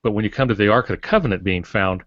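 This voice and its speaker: An older man explaining things in a halting, digressive way as a co-host in a panel discussion. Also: on the low side, fairly bright tone. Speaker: podcast co-host, writing instructor. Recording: muffled, roomy lo-fi podcast audio.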